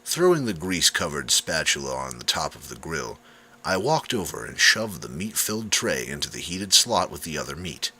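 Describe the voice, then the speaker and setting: A male narrator reads a scripted first-person story dramatically. His voice is low and very bright and forceful, with a lively, swinging intonation. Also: moderate pace, breathy edge, low-quality recording. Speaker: audiobook narrator.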